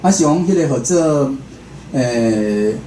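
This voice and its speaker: A man's voice: mid-range voice, lively intonation, slow pace, dark tone. A man with a Taiwanese accent, a radio host, giving an informal, conversational public talk and often checking in with his listeners. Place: indoor room at a public talk. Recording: roomy talk venue with faint noise.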